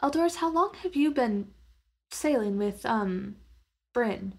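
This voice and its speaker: A woman takes part in a tabletop game as a player. Her voice sits fairly high, and she speaks in a casual, conversational style as she asks questions and describes actions. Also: lively intonation, measured pace, slightly rough.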